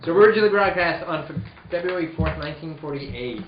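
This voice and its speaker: A man's voice: on the high side, animated, fairly quick, background noise. A man co-hosting a podcast in casual comic banter. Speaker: podcast co-host. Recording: roomy, dull sound, people chattering behind.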